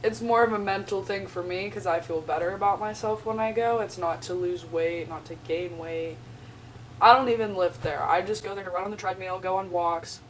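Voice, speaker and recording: Young adult woman, college vlogger, low-ish voice, slightly raspy, chatty filler-heavy delivery, mic a bit distant. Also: a little hiss or hum. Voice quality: chatty, lots of "like" and "um". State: slightly raspy from a sore throat. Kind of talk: casual vlog talk.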